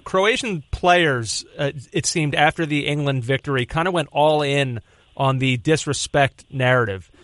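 A man's voice: a little high, unhurried, fairly bright tone, no echo. Dry-voiced male interviewer. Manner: direct, questioning. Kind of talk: interview questions.

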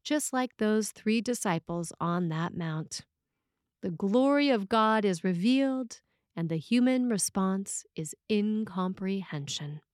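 A woman reads a prepared sermon in a dry, echo-free recording. Her delivery is measured and expressive, with the deliberate phrasing of a priest. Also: mid-range voice. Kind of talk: scripted sermon, read aloud.

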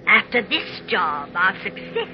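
An actress playing a cool, scheming female crime boss in old radio drama style, speaking in formal, measured, condescending phrases. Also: medium pitch, big pitch swings, over music. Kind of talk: 1940s radio acting. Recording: noisy old radio drama recording, muffled.

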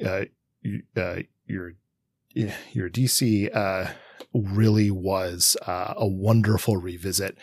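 A man's voice: on the low side, no echo. A man with an American accent in casual podcast discussion, enthusiastically sharing recommendations as a co-host.

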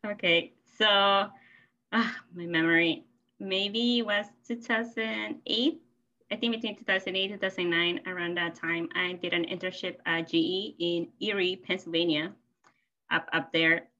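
A woman's voice: lowish voice, steady tone, unhurried, sharp tone. A woman in her thirties from Peru, a native Spanish speaker, telling personal stories casually and cheerfully as a podcast guest, on slightly lo-fi audio. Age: 30s.

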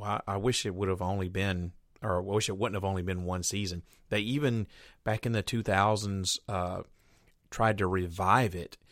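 A man's voice: deep voice, dry recording, clean studio sound. A middle-aged man hosting a radio show, casually introducing the episode in a rambling, hesitant way. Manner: rambling, hesitant, lots of uh and restarts.

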